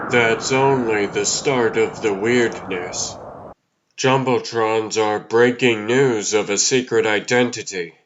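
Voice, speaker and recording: A man voicing a steady, wry superhero in a comic dub, measured in both inner monologue and dialogue. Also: lowish voice, dull audio. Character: steady, wry male superhero, puzzled but determined. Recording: music behind the voice.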